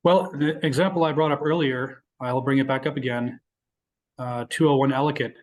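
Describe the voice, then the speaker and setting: A man with a mid-range voice speaks as a guest presenter in a webinar question session. He explains his points in a halting way, sometimes repeating words as he goes. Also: mid-range voice, fairly even intonation, rough recording. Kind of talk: webinar question-and-answer talk.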